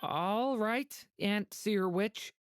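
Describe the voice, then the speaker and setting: A woman voicing a polite, innocent young boy in a fantasy game, softly earnest and a little hesitant. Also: medium pitch, very slow, clear, resonant tone, dry recording, clean studio sound. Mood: polite, wondering.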